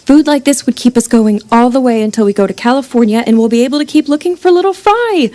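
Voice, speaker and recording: A woman's voice: fairly high pitch, animated, fast talker, warm tone, natural voice. A woman playing a pragmatic, commanding runaway leader in an apocalyptic western audio drama, firm and persuasive. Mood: pragmatic, scheming. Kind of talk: live-streamed audio drama acting.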